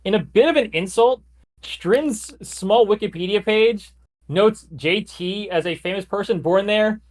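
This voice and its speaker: A man's voice: high-pitched, unhurried. A man hosting a podcast, casually walking his co-hosts through a story he researched, with plenty of loose "like" fillers. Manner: loose, chatty, frequent "like" fillers. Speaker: podcast host.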